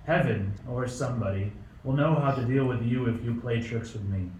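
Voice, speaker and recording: A man's voice: mid-range voice, normal speed, darkish tone, close to an everyday voice. An actor playing a sick, suspicious old tyrant king in a radio play, snappish and imperious. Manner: imperious, snappish, paranoid accusations. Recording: roomy, distant mic, faint noise.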